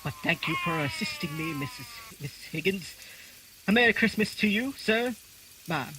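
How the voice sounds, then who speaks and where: A man playing a timid, polite and flustered Victorian gentleman in a radio drama. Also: high-pitched, big pitch swings, normal speed, noisy background. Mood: grateful, faint.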